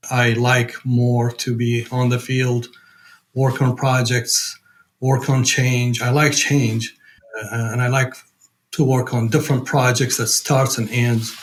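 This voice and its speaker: A male podcast guest with an Iraqi accent speaks in a medium-pitched voice. He talks conversationally, as if giving a personal account. His delivery is halting as he looks for the right words. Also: level tone, rough recording. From Iraq. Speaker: podcast guest, program director.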